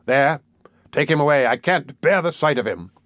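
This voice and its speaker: A man's voice: on the high side, animated, normal speed, fairly bright tone, dry recording, clean studio sound, voice pushed up. Man acting a pompous, imperious parish beadle. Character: pompous, self-important, irritable male parish beadle. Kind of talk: audiobook character acting.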